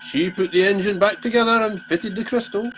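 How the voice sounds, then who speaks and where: An actor playing a dour, deadpan ship's engineer in a comic radio play. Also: male voice, high-pitched, a little fast, faint music behind, lightly acted, rough recording. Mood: wry.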